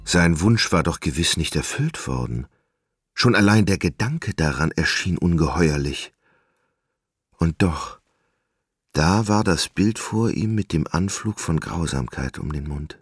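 A man giving measured, solemn literary narration for a radio drama. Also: low-pitched, expressive, slightly rough, dry recording, clean studio sound.

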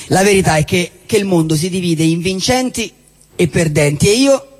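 Stage actor, husky and fairly high, glum, halting delivery. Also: male voice. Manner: glum, hesitant, repeats his words. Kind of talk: live theatre dialogue. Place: theatre stage.

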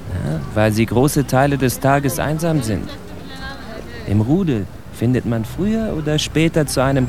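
A higher-pitched male voice delivers a grim, bitter literary monologue about life as an outcast, slowly and emphatically. It is radio drama, set against cars and city street noise. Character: stern, bitter narrator portraying outcast street gang boys. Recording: noisy outdoor city street with traffic. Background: cars and urban street ambience.

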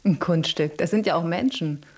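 A woman's voice: fairly low pitch, normal speed, a little mellow, close to an everyday voice. An actress playing a flirtatious, self-assured female cat in a radio play, coaxing and often dropping to a murmur. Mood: seductive, confident. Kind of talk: German radio play acting.

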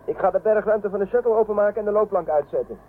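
An eager, impatient male shuttle pilot played by an actor in a science fiction radio play, bossy but teasing. His voice is a little high. The sound is phone-like and clearly noisy. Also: fast talker, lightly acted.

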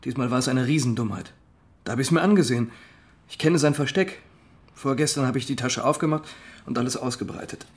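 An actor playing an earnest young man, halting and emphatic, in a radio crime drama. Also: mid-range voice, a little hiss or hum, lightly acted. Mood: agitated, confessing.

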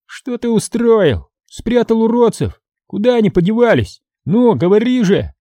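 Man acting a menacing, high-pitched bully boy. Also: lively intonation, takes it slowly, a little mellow, dry recording, exaggerated voice. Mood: suspicious. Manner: demanding, threatening. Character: bullying, suspicious, menacing big boy nicknamed Moose.

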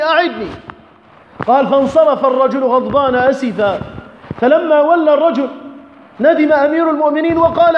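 A man preaching an Islamic sermon in an impassioned, exhorting, rhetorical manner, telling stories and admonishing listeners. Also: very high-pitched. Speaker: preacher delivering a Friday sermon. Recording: echoey reverberant hall, dull, faint noise.

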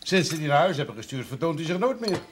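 An actor playing a gruff, impatient, middle-aged country father in a television period drama, blunt and grumbling. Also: a little high, very animated, quick pace. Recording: noisy older TV soundtrack, clinking tableware.

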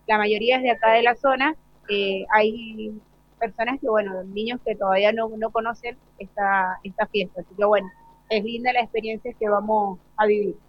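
A schoolteacher, a woman with an Argentine accent, talks in a live interview. Her medium-pitched voice moves in halting, hesitant phrases, and the sound is slightly dull and lo-fi.

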